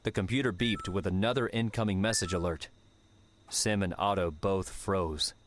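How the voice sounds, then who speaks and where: Low-voiced man narrating an audiobook. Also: normal speed, slight background noise. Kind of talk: dramatic scripted narration.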